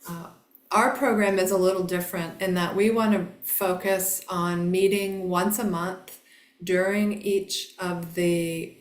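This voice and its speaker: A woman hosting an online presentation, speaking gently with frequent hesitations and fillers. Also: fairly low pitch, fairly even intonation, unhurried. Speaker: program host. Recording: echoey room.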